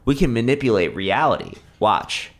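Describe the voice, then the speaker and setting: A man's voice: mid-range voice, sing-song, moderate pace, voice pushed up. A man voicing a brash, upbeat superhero. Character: cocky male superhero in yellow costume.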